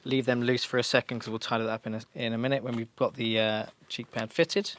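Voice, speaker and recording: A man's voice: mid-range voice, flat delivery, fast, a little hiss or hum. A man giving an informal, practical step-by-step demonstration in a reassuring, instructional way. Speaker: motorcycle shop staff giving a fitting tutorial.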